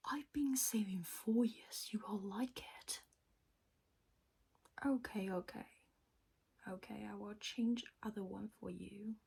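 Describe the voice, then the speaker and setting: The voice you would hear at the bedside in a vampire's castle in an ASMR roleplay: a woman as a gentle hostess with non-native English, whispering slowly and soothingly until the guest drifts off. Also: medium pitch, full character voice. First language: not English. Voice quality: breathy whisper. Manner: slow, soothing, coaxing.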